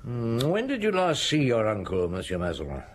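An actor playing a brusque, musing police chief inspector in a radio drama. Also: male voice, medium pitch, huge pitch swings, normal speed. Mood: probing. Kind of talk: old radio drama acting and narration. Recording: old radio broadcast, faint noise.

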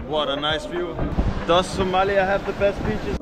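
A man's voice: high-pitched, normal speed, over loud music. A man, chatting casually and enthusiastically as a travel vlogger. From Denmark. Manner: enthusiastic, friendly. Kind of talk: casual travel vlog chat. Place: open-air beachside restaurant terrace. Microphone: action camera at arm's length.

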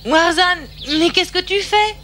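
A woman's voice: very high-pitched, steady tone, measured pace, background noise. A woman voicing a cautious, loyal young monkey in a cartoon, childlike and worried. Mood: worried, cautioning. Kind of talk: cartoon dubbing. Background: loud background music.

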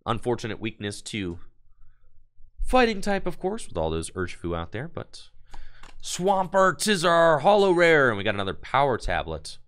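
A man opening card packs on a live stream, reading card text aloud and hyping his pulls for chat, his voice going hoarse. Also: medium pitch, wildly swooping pitch, normal speed, fairly bright tone. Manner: enthusiastic, hype-building, reads card text aloud. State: losing his voice.

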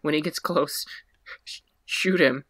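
A man's voice: a little high, a little slow, pushed and bright, no room sound. A man in his twenties narrating and playing improvised comedy, recorded at home on a noisy video call. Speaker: improv comedy podcast performer. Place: small room at home.

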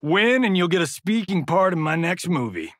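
A cocky American action-movie star from a fighting game. His voice is fairly high for a man and swings widely as he swaggers through sarcastic one-liners. He takes his time over each showy line, in a very dry, close recording. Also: sing-song, normal speed, a bit bright, dry recording. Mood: brash, boastful.

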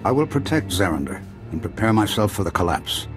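Deep, expressive Jedi master. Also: male voice, over music. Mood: serene, resolute. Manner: measured, philosophical, formal. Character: serene, aloof Jedi master, transcendent and proud. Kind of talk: video game voice acting.

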